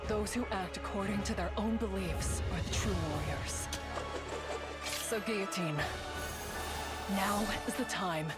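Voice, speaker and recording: A woman's voice: mid-range voice, measured pace, pauses often, loud music behind the voice, close to an everyday voice. A woman voicing an earnest, frank young adventurer and loyal companion in an English anime game dub. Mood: earnest encouragement.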